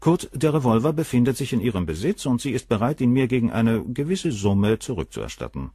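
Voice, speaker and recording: A man's voice: mid-range voice, sing-song, brisk, mellow, husky, no room sound, studio quality. An actor playing a proud, coldly condescending male surgeon in a crime radio play, speaking in a composed, articulate way. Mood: composed, matter-of-fact. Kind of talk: German radio crime drama acting.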